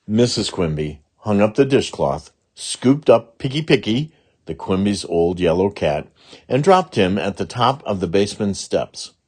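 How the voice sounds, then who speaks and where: Male reader for children's chapter book, raspy tone, animated and expressive delivery. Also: low-pitched. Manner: acts out character dialogue. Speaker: audiobook reader. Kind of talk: expressive children's storybook narration.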